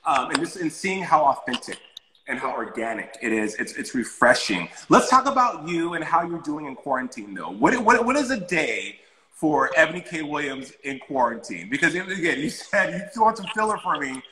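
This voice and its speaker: A man's voice: on the high side, big pitch swings, normal speed, sharp tone, slight background noise. An American man aged about forty, hosting a casual interview over a video call. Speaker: interview host. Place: living room indoors, on a video call. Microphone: lapel. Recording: echoey room on video call.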